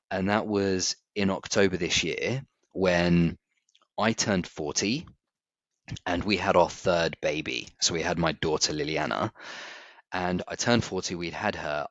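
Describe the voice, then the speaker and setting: A man's voice: sits low, fairly bright tone, no echo. A man, talking candidly and reflectively as a podcast co-host, laughing easily. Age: 40s. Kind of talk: casual chat. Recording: dry, slightly dull audio.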